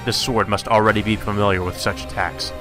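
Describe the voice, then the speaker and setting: A man's voice: fairly low pitch, level tone, brisk, over music. A man reading a visual novel aloud as narration, in the manner of a let's play host.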